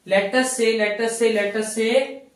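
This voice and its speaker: A man's voice: high-pitched, fairly even intonation, measured pace. A young man teaching in lecture style, repeating key phrases for emphasis and often saying okay. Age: young adult. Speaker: physiotherapy teacher. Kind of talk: classroom-style lecture at a whiteboard. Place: small bare indoor room with whiteboard. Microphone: camera mic a few steps away. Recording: very echoey room, distant microphone.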